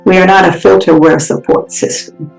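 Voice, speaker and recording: American woman, reassuring program director; fry in the voice, roomy echo. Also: on the low side, steady tone, fairly quick, soft background music. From United States. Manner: reassuring, explanatory. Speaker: regional director of a freshman program. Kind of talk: podcast interview answers.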